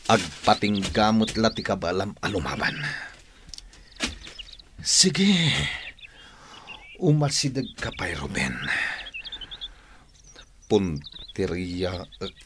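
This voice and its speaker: A man's voice: sits low, big pitch swings, takes it slowly, long pauses, noisy background, full character voice. A man with a Filipino accent playing a menacing, taunting armed fugitive in a theatrical Ilocano radio drama. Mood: scheming. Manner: theatrical, taunting, swinging from mutter to shout. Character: menacing armed male fugitive hunting his rival.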